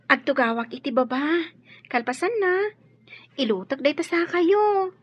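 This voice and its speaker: Casting: woman as polite young housemaid, high girlish voice, dutiful and artless, dull dry drama recording. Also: lively intonation, unhurried, dry recording. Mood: polite, caring. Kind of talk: Ilocano audio drama acting.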